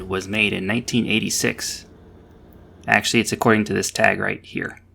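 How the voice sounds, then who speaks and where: Man, fairly low pitch, creaky voice, casual step-by-step DIY narration, understated dry delivery. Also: a bit bright. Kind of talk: explanatory project voice-over, casual.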